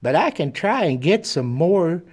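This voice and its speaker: A man's voice: on the high side, very animated, measured pace, darkish tone, voice pushed up. A man voicing a small, earnest little girl who pleads and stammers. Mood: pleading.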